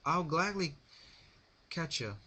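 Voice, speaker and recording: A man's voice: fairly high pitch, lively intonation, slow, pushed and bright, slightly rough, voice pushed up, exaggerated voice. Man doing a folksy female cartoon farm pony. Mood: friendly, apologetic.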